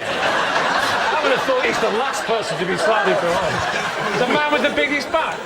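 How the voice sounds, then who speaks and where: A man throws in incredulous comic remarks as a panelist. His high voice swings widely, amid loud audience noise and laughter. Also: lively intonation, normal speed, over a noisy crowd.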